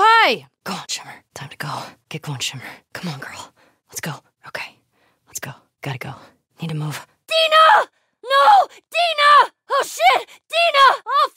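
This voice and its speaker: A woman's voice: very high-pitched, bright, forceful tone, dry recording, studio quality, exaggerated voice. An actress playing a tough, sardonic young American woman survivor in a video game, naturalistic and clipped, often speaking under her breath. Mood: bright, playful, then gently urging, then panicked, screaming.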